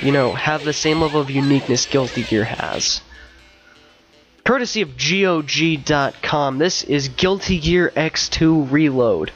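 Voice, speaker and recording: A young man talks through a stuffy, congested nose while getting over a cold, his voice sitting a little high. He reads a scripted review in an easygoing, slangy, excited way. Loud metal music plays under him. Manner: casual, slangy, enthusiastic. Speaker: video game reviewer.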